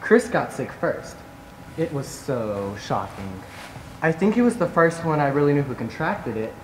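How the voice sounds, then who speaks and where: A man's voice: medium pitch, very animated, unhurried. A man playing a campy, witty and wistful young man in a stage play, theatrical in delivery. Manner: theatrical, quippy. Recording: echoey theater, faint noise.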